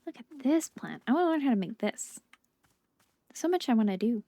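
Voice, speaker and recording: A woman giving casual, playful gameplay commentary as a video host, chatty and quick to exclaim. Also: a little high, sing-song, dark tone, dry recording.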